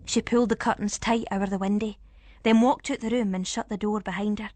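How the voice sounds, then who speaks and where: A woman with a Scottish accent narrating a first-person ghost story in a suspenseful, expressive way. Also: medium pitch, fairly quick, a little hiss or hum.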